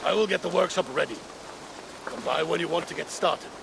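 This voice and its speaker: An actor playing a mysterious older Norse craftsman in a video game, with a gravelly voice and a measured, cryptic manner. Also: a little high, background noise. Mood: assured. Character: mysterious older Norse craftsman, soft-spoken, wry visionary. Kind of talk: video game cutscene acting.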